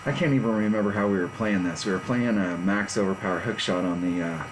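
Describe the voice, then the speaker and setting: A man in his fifties rambles in a relaxed, self-deprecating way while streaming from his garage. His voice sits a bit low. A faint hum runs underneath. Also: fast talker. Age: 50s. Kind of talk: casual live-stream chat. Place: home garage.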